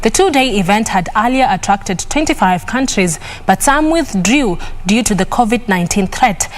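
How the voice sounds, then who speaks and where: A woman anchoring the sports news, reading the script with crisp, steady delivery. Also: mid-range voice, moderate pace.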